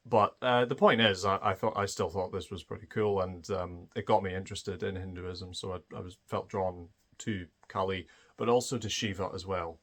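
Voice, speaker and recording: A man's voice: deep voice, steady tone, moderate pace. A man with a Scottish accent tells a personal story as a channel host, speaking in a thoughtful, hesitant way with frequent fillers and restarts. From Scotland.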